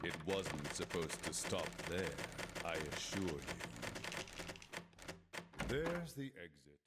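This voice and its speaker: An actor playing an eerie male announcer of a haunted-house ride, slow and falsely apologetic, in a horror game. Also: sits low, very animated, full character voice. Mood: sinister. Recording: noisy game audio with hum.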